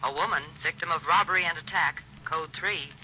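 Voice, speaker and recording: A fairly high-voiced American man as an official police dispatcher calling units in an old radio drama. The voice comes through a muffled, noisy police radio. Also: a little fast, bright, forceful tone. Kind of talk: 1940s radio acting, dispatch calls.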